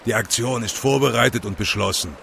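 A man's voice: medium pitch, brisk, vocal fry, fairly bright tone, a little hiss or hum. A man playing a huge, provocative eco-activist in an audio drama, goading and sarcastic with emphatic delivery. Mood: smug, provocative.

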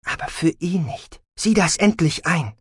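A man's voice: high-pitched, expressive, unhurried, husky, dry recording, studio quality, pitched above the performer's own voice, exaggerated voice. A man voicing a guarded, irritable mother in an audiobook, curt and exasperated. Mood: stern, exasperated. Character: stern, guarded, irritable mother of a teenage girl. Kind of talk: dramatic audiobook character acting.

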